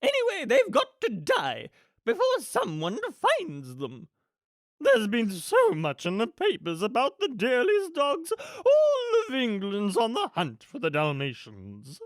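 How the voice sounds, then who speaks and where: A woman's voice: fairly high pitch, wildly swooping pitch, slow, no room sound, studio quality, voice pushed up, exaggerated voice. A woman voicing an imperious, gleefully murderous rich villainess from a storybook, with a haughty, theatrical, commanding manner. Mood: commanding, impatient.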